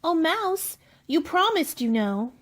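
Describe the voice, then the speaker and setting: A woman's voice: very high voice, sing-song, slow pace, a bit bright, heavily acted. A woman playing a polite, bewildered little girl in a storybook radio play, earnest and apologetic. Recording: music behind the voice.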